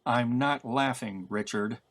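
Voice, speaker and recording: Audiobook role: male reader as hard-edged fugitive woman, sharp, sarcastic, short on patience. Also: mid-range voice, slow pace. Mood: hungry, irritated. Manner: blunt, sarcastic. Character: sharp, exasperated, tough-talking woman on the run.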